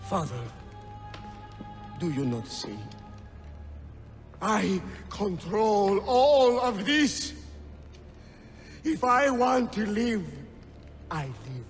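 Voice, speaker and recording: An actor playing a ruthless, power-hungry young lord in a video game, with an imperious, explosive manner. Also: male voice, high-pitched, sing-song, very slow, long pauses, exaggerated voice. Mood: cold menace. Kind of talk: dramatic game cutscene acting. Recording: long hall reverb under loud music.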